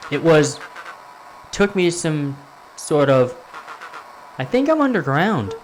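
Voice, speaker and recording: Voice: mid-range voice, very animated, slow, slight background noise, low-quality recording. A performer voicing a frightened captive boy calling urgently over a walkie-talkie. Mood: urgent, scared.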